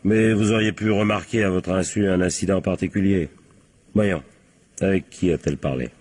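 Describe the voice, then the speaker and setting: An actor playing a shrewd, confident police captain in a French radio crime drama, methodical, probing and wryly polite. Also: male voice, low-pitched, steady tone, normal speed, darkish tone.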